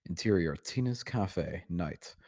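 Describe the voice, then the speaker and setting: A man's voice: low voice, expressive, slow, darkish tone. A man reading out stage directions as narrator at a script table read.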